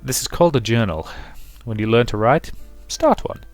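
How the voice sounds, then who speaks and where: A man voicing a stern, weary, bookish gentleman in a visual novel, measured, curt and reserved. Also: medium pitch, animated, dry recording, soft background music, natural voice. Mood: stern, subdued.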